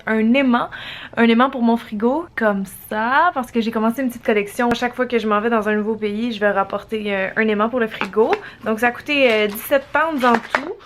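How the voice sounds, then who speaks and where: A woman's voice: fairly high pitch, slight background noise. A woman from Canada with a Québécois accent, chatting casually and laughing often in a travel vlog recorded on a handheld camera. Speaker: vlogger.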